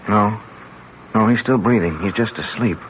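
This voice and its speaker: A muffled, noisy old radio drama recording carries an actor as a practical male adventurer. His voice is low and his delivery clipped and plainspoken. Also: normal speed, close to an everyday voice. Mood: subdued, concerned.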